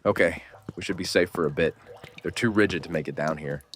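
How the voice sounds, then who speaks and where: A man's voice: low-pitched, brisk, a bit bright, a little hiss or hum. An actor playing a middle-aged, weary and wounded survivor in a horror audio drama, wry and slangy as he guides someone. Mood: guarded, hushed urgency.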